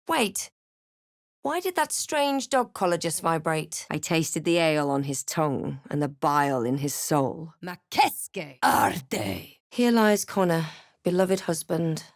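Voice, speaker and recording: A snarky adult female fantasy adventurer for a video game. Her voice sits a little low and is recorded close and dry. She speaks in a crisp, theatrical way, proud and sardonic. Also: dry recording. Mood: wary, curious, then commanding incantation, then dry, scornful. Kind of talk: scripted game character lines and narration.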